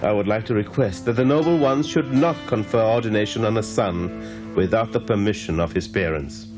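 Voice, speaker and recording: A man playing an old king and doting grandfather in an animated religious drama, grave and pleading. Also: fairly low pitch, moderate pace, music in the background, natural voice. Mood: grieved. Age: older.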